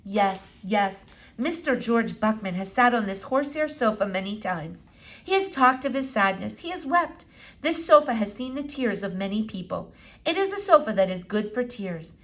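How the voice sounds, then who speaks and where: A woman's voice: medium pitch, animated, normal speed, a bit bright. A woman voicing an elderly, philosophical lady doctor from a children's book, speaking emphatically in quaint, formal, repetitive phrasing. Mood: wistful.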